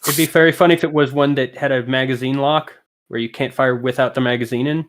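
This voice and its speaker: A man's voice: medium pitch, steady tone, fast. A man guesting on a comedy podcast, with laid-back, profane banter. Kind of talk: casual comedy chat.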